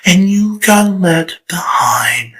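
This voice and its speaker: A man's voice: high voice, animated, crowd noise. A man voicing a scheming, theatrical male chaos spirit in a fan comic dub. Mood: probing. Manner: dramatic, teasing, slow and deliberate. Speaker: storyteller figure.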